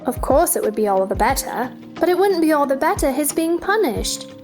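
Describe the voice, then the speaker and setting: A woman's voice: high-pitched, very animated, moderate pace, a little mellow, soft background music. A woman playing a polite, puzzled, sensible young girl in a theatrical children's storybook drama, earnest and questioning.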